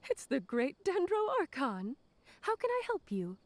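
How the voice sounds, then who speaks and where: A woman's voice: high-pitched, huge pitch swings, unhurried, slight background noise, exaggerated voice. A woman voicing a polite, helpful clerk in a video game, speaking courteously and formally. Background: game music.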